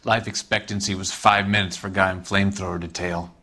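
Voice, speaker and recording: An actor playing a bitter, scarred war veteran living as a drifter, sullen and deadpan. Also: male voice, low-pitched, fairly quick, vocal fry, heavily acted. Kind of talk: video game cutscene acting. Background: music score.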